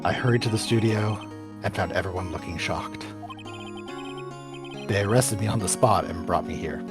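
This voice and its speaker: A man's voice: fairly low pitch, moderate pace, lightly acted. A man voicing a mild, earnest TV action star in a video game, politely explaining himself. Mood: sober recounting. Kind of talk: live fan voice-over of a video game. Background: music.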